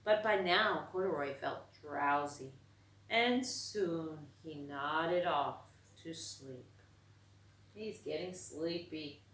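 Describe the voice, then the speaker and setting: Slow-paced woman reading a storybook, roomy echo. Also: low voice, slight background noise. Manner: expressive, pausing to explain to young listeners. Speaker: storytime reader, teacher figure. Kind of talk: children's storybook read-aloud with asides to listeners. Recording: roomy echo, distant from the mic.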